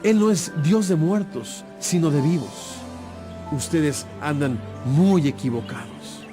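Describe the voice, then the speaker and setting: A man's voice: a little high, takes it slowly. A man acting an authoritative, composed holy teacher in a dramatized audio Bible, speaking solemnly and emphatically. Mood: challenging. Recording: music playing behind.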